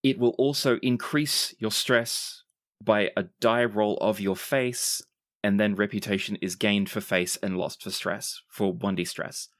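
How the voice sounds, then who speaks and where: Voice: low-pitched, steady tone, measured pace, dry recording, clean studio sound. A game master explaining tabletop game rules and narrating scenes to players.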